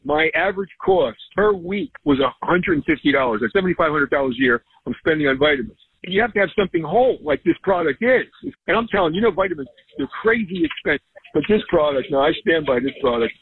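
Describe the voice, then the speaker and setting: Fast, husky man on a phone line. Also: a little high, expressive, no echo. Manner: fast, insistent. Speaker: customer giving a testimonial. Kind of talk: phone-in testimonial.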